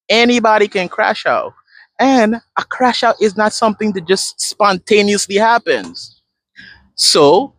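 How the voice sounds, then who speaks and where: A man's voice: high-pitched, fairly bright tone, no room sound. A man from Guyana, a therapist in training, explaining a coping tool step by step in a conversational talk. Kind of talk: direct-to-camera advice talk.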